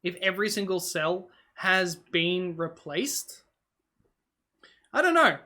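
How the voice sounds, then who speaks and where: Bright-sounding vlogger, hungarian first language, just rambling to camera with lots of um and like. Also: medium pitch, expressive, slow. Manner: meandering, musing aloud with many fillers and self-corrections; talks while eating on camera. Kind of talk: casual rambling vlog.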